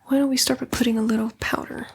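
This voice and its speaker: A woman playing a coaxing, condescending female demon in a breathy, whispered ASMR roleplay. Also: fairly high pitch, steady tone, quick pace, heavy background noise. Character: female demon, a fallen angel, coaxing and vain tempter.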